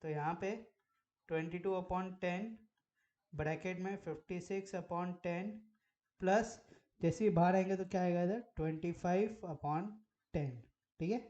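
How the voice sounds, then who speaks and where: A hoarse man teaching maths at a whiteboard, his voice mellow and a little dark. He works through each step and keeps asking what is left or what comes next. The recording sounds dull and lo-fi. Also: high-pitched, fairly even intonation, a little slow. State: hoarse from a sore throat.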